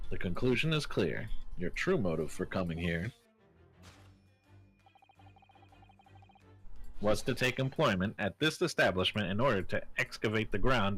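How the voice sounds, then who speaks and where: A man's voice: fairly low pitch, fairly bright tone. A man voicing a pompous, theatrical detective with grandiose, formal diction in an amateur video game fan dub. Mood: triumphant. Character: pompous, theatrical great detective, deducing a crime with relish.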